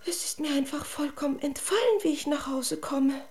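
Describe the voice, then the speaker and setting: An actress playing a haughty, dreamy, easily offended fairy-tale princess in a German radio play, with theatrical, self-important sighs. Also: female voice, sits high, normal speed, a little mellow, lightly acted. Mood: distressed.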